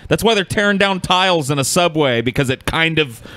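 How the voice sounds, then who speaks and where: A man's voice: a little high, animated, brisk, sharp tone, no echo. A man co-hosting a casual podcast, commenting in a blunt, sardonic, profane way. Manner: blunt, sardonic, swears freely. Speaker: podcast co-host.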